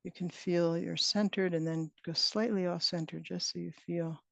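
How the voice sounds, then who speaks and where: A woman leading a guided meditation as a teacher, speaking in gentle, unhurried phrases with long pauses. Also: lowish voice, steady tone, vocal fry, no echo. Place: online retreat session, close dry sound. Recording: dull, band-limited online call audio.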